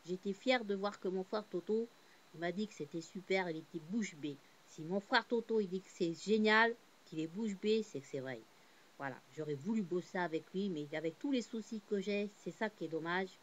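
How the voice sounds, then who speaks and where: Woman rambling thru her own story, lots of ums n restarts, goes from quiet to fired up. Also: medium pitch, normal speed, highs cut off, a little hiss or hum. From born in Portugal, Cape Verdean family. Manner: rambling, many fillers and restarts; heartfelt, swings between subdued and animated. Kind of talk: casual personal monologue.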